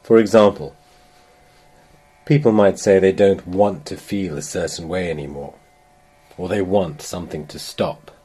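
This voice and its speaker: A man with a very low, dark voice leads a guided meditation. He speaks very slowly and gently, leaving long pauses between measured phrases. The tone is mellow and a little breathy. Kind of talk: guided meditation, slow soothing instruction.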